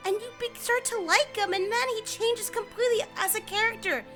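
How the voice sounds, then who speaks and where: A woman's voice: extremely high pitch, a little fast. A young American woman giving a scripted but casual game review in an emphatic, sarcastic, sweary way, as an online reviewer. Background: background music.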